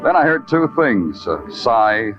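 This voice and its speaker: An actor playing a world-weary American police detective, narrating in brooding, lyrical, hard-boiled 1940s radio noir style. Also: male voice, medium pitch, big pitch swings, measured pace. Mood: wry, reflective. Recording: dull old radio broadcast, loud music.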